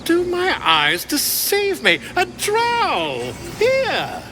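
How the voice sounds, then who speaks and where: An actor playing a pompous, excitable male scholar in a video game, theatrical and wordy in manner. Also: extremely high pitch, extremely slow, slight background noise, full character voice. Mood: astonished. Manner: theatrical, verbose, exclamatory. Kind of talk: video game character acting.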